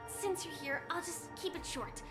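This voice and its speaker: A woman playing a gentle, resolute young warrior in an anime game, speaking earnestly and solemnly in a measured way. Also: very high-pitched, music in the background. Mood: grave, urgent.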